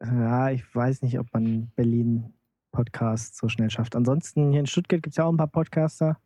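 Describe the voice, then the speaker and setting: A man's voice: medium pitch, steady tone, moderate pace, mellow, dry recording. A man hosting a casual radio interview, asking teasing, probing questions. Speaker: radio host.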